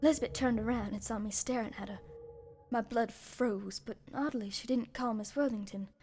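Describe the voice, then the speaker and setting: A woman's voice: fairly high pitch, moderate pace, darkish tone, slight background noise, close to an everyday voice. A woman playing a journalist who narrates a murder mystery in a scripted, dramatic radio play style. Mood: hushed suspense.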